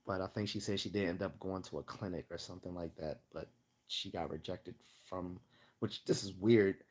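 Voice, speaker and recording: A man hosting a casual livestream Q&A, chatting and rambling as he loses his voice and keeps clearing his throat. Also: sits low, steady tone, normal speed. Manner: rambling, chatty, laughs often. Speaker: livestream host.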